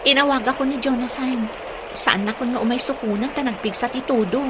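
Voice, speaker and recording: A woman's voice: fairly high pitch, fairly quick, background noise, natural voice. An actress playing a trusting, anxious young girlfriend in a radio drama, with an Ilocano accent. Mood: worried.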